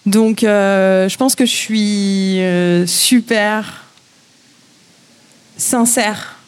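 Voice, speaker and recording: A woman from France speaks casually at a medium pitch. She pauses and restarts, thinking aloud as she explains her view. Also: steady tone. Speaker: guest musician. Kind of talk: casual discussion.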